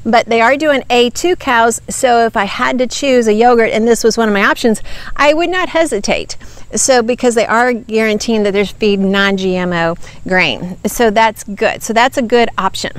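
A woman's voice: on the high side, moderate pace, clear, resonant tone. A woman in her fifties reviewing products to camera outdoors, explaining in an earnest, emphatic teaching manner on a lapel mic. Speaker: nutrition ministry host. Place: outdoors by woods.

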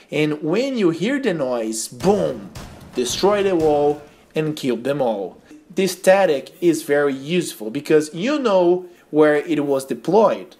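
A young man from Brazil with a Brazilian Portuguese accent, narrating a game tips tutorial in careful, slightly halting English. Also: a little high, darkish tone. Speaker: game tips video host. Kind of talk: scripted gameplay tutorial voice-over.

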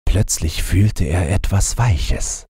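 A man's voice: deep voice, slow pace, warm tone, slightly rough, dry recording, studio quality. A man narrating a bedtime fairy tale as an audiobook reader.

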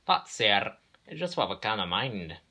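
A man's voice: on the low side, big pitch swings, moderate pace, sharp tone, husky, exaggerated voice. A man voicing cheeky twin storybook engines with a Scottish accent and a solemn show of innocence. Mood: mock innocent.

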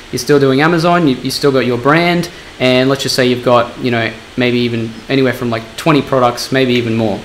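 A man's voice: medium pitch, slight background noise, poor audio. A young man in his twenties interviewing a guest over a video call from a small room at home, encouraging and full of fillers like "you know" and "um". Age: 20s. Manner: encouraging, filler-heavy, repeats phrases. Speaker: interviewer and e-commerce coach. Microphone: video call webcam.

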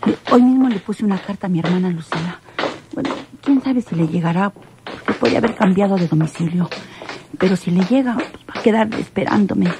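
A woman's voice: medium pitch, fairly quick, background noise, natural voice. An actress playing a worried, hesitant Mexican woman on the run in an old radio serial.

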